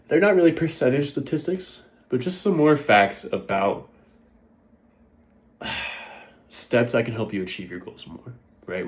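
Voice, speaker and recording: A man's voice: medium pitch, darkish tone, lo-fi sound. A congested man talking casually to his viewers about motivation, often checking in with "right?". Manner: conversational, keeps asking "right?". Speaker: vlogger. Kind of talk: casual motivational talk to camera.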